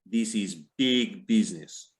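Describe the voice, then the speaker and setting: A man's voice: on the low side, slow. A man acting a domineering Russian crime boss in readers theater, curt and commanding. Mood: threatening.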